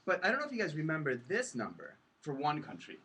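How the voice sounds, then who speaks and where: A man with a husky voice giving a casual, halting live presentation. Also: a little high, huge pitch swings, bright, forceful tone. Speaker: project presenter. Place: live event with audience. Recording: distant microphone in live room.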